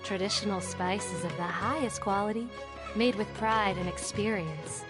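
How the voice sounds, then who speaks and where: A proud market merchant played by a woman calling out a sales pitch in a game. Her voice is medium in pitch, with clear music behind it. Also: moderate pace, natural voice. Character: proud female spice merchant hawking wares.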